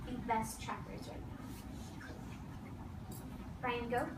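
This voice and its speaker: High-voiced woman teacher in an echoey classroom. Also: flat delivery. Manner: firm, directive, with short commands; deliberate repetition of key phrases. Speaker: elementary school teacher. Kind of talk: classroom read-aloud and instruction to young children. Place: classroom full of seated children. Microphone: distant camera across the room. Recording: echoey, noisy classroom recorded from far off.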